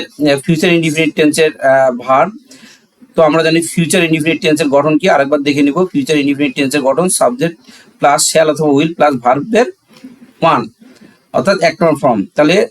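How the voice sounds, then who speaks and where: Higher-pitched Bangladeshi man teaching. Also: rough recording. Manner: didactic, asks and answers own questions; repeats key phrases step by step. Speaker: English grammar teacher. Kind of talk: classroom-style lesson explanation.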